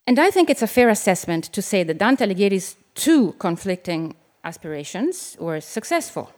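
A woman's voice: mid-range voice, big pitch swings, darkish tone. Italian-born woman reading an essay. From Italy. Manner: measured and reflective, with asides. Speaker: novelist reading her essay. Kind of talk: reading an essay aloud at a live event. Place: event venue with an audience.

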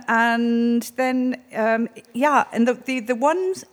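A woman's voice: medium pitch, big-room sound. A woman hosting a literary event, introducing the guest in an effusive way with many ums and restarts. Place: event venue with an audience.

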